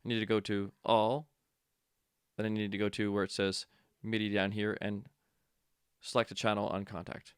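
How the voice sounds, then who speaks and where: A man giving a casual software tutorial, informal and offhand, thinking aloud as an instructor demonstrating step by step. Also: lowish voice, level tone, normal speed, clear, resonant tone, no room sound, clean studio sound.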